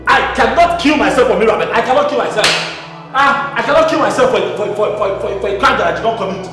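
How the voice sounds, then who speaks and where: A man's voice: very high-pitched, fast. An actor playing a haunted, self-justifying man in a film drama, stammering and repeating himself in agitation, with a Nigerian accent. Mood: indignant, agitated. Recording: echoey, reverberant hall sound with music.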